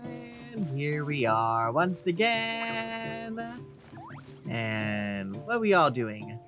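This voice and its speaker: A man's voice: on the high side, sing-song, very slow. A man hosting a let's play, reading game text aloud and commenting casually. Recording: game music behind the voice.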